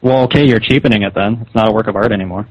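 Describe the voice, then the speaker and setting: A man's voice: lowish voice, racing, breathy edge. Guy scolding someone on a phone call, pushy and argumentative, dull lo-fi sound. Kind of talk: confrontational phone conversation. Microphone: telephone call.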